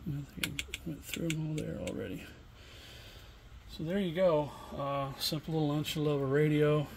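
A middle-aged man giving a casual, unscripted product demonstration in a plainspoken, halting way. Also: fairly high pitch, level tone. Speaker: online seller demonstrating used radio equipment. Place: indoor home workshop bench. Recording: room noise, homemade camera recording.

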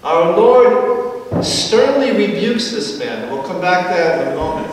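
Priest giving a sermon, middle-aged man, higher voice, long church reverb, distant camera mic. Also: sing-song, slight background noise. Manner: explanatory, gently wry preaching with slow emphatic phrases. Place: large church sanctuary, at a pulpit. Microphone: far camera. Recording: echoey church from far off.

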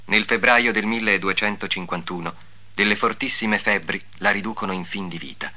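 A man's voice: fairly low pitch, normal speed, pushed and bright. A man narrating in a formal, declamatory 1950s devotional documentary style, with solemn delivery. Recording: old 1950s soundtrack, music behind.

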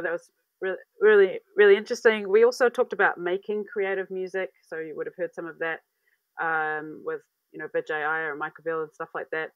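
A woman in her thirties from New Zealand giving a conference talk over a video call from a room at home, relaxed and searching for words with many fillers. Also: fairly low pitch, dry recording. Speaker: academic speaker. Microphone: earphone mic on video call. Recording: dry, dull video-call audio.

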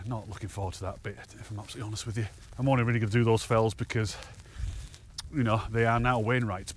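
A man's voice: on the low side, a little hiss or hum. Man, thirties, chatty vlog commentary, laughs a lot, outdoors on a fell, camera mic. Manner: chatty, upbeat, laughs often. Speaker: hiking vlogger. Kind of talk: casual walking commentary to camera. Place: open windswept fell top outdoors.

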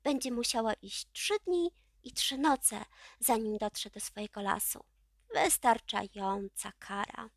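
A woman's voice: fairly high pitch, measured pace, dry recording, studio quality. A woman voicing a stern old chief witch who speaks in an imperious, emphatic manner, as in a children's storybook. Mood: decisive.

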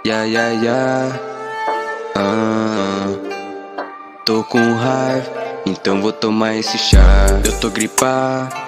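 Brazilian guy sing-rapping trap real slow, lowish voice, beat blasting under it. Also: fairly even intonation, loud music behind the voice. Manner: drowsy melodic sing-rap with breathy ad-libs. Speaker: trap artist. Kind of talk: sung and rapped trap track. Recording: loud backing beat over vocals. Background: loud trap beat.

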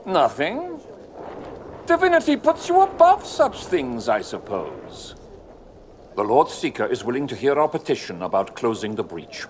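Fussy courtier lord, haughty, theatrical, hugely animated pitch, video game drama, noisy ambience behind. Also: male voice, a little slow. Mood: haughty, prying. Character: pompous, fussy noble lord, a gossiping courtier.

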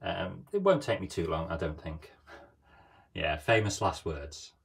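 A man in his fifties filming himself in a small boat cabin on a phone, explaining a wiring job in a relaxed, hesitant way with many fillers. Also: sits low, measured pace, gravelly, slight background noise. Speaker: sailing vlogger. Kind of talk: casual how-to vlog. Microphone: handheld phone camera.

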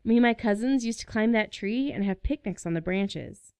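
Woman acting friendly young small-town woman, radio play, animated pitch, gravelly fry. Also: normal speed, dry recording, close to an everyday voice. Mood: cheerful, curious.

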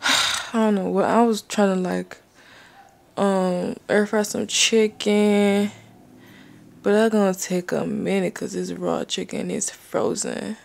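A woman's voice: medium pitch, steady tone, takes it slowly, creaky. A young woman chatting casually and informally to camera in a slangy vlog style. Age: young adult. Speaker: vlogger.

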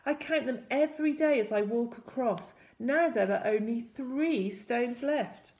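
A woman's voice: a little high, a little slow, darkish tone, voice pushed up. A woman voicing an anxious, pleading young boy stranded in a storybook tale, plaintive and emphatic.

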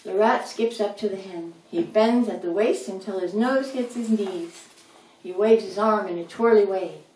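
A woman reads a children's picture book aloud in a gentle, measured way. Her voice is mid-pitched and sounds somewhat distant in a roomy indoor space. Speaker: read-aloud narrator. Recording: roomy, slightly distant mic.

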